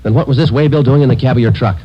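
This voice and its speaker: An actor playing a terse, relentless police detective sergeant in an old radio crime drama, clipped and deadpan. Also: male voice, medium pitch, very fast, close to an everyday voice. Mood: pressing, relentless. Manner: clipped, deadpan, matter-of-fact. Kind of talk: 1940s-50s radio police drama acting. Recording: muffled old radio recording, clear hiss.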